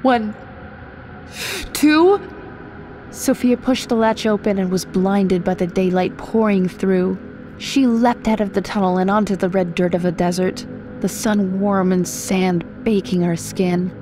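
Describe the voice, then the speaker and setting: A woman's voice: medium pitch, fairly even intonation, normal speed, warm tone, a little hiss or hum, low-quality recording. A woman from the United States reading scripted horror fiction as a story narrator.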